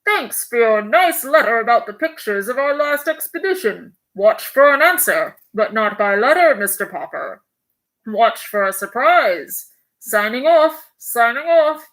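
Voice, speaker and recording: A woman reading aloud, voicing a hearty explorer admiral speaking over a radio broadcast, loud and announcing. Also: on the high side, animated, a little slow, rough recording.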